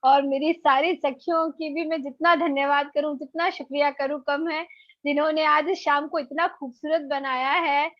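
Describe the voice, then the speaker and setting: A woman with a bright, high voice introduces her comic poem with cheerful, modest laughter. The sound is dry and slightly dull. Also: no echo. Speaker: poet and co-host. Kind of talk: light humorous introduction.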